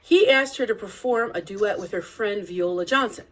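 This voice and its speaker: A woman reading a picture book aloud as narrator, with deliberate, expressive phrasing. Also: mid-range voice. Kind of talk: read-aloud storybook narration.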